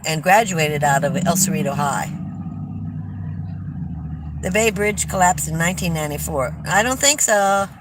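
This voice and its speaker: An older woman from California, hosting a casual livestream, chatting with viewers and telling stories in a loud voice. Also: fairly low pitch, slight background noise, low-quality recording. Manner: chatty, warm storytelling with restarts. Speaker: livestream host. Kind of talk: casual livestream chat reading viewer comments.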